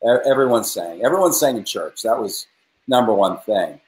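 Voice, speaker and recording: A man's voice: lowish voice, lively intonation, normal speed, darkish tone. A man, a musician guest telling his story earnestly over a webcam from a room at home. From New England. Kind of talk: casual video-call interview. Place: home room with guitars, indoors. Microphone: video call webcam. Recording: roomy webcam audio.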